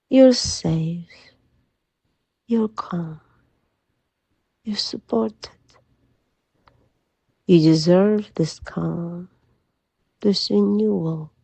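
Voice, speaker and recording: A woman leading a guided sleep meditation in a soft, soothing, gentle voice that often drops to a breathy near-whisper. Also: on the low side, no room sound. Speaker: hypnotherapist guide.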